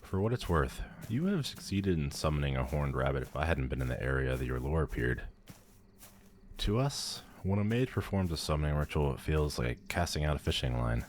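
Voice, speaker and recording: A man's voice: rumbling low, lively intonation, brisk, slight background noise. A man playing a powerful demon lord in an ASMR audio roleplay, pompous, condescending and theatrical. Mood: lofty, instructive. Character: haughty, powerful male demon lord, bored and amused.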